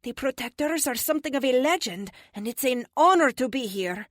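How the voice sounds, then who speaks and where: A woman's voice: high voice, lively intonation, brisk, no room sound, studio quality, voice pushed up. A woman voicing a polite, earnest foreign policewoman hero who speaks formally and courteously, in an audiobook. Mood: warm, respectful.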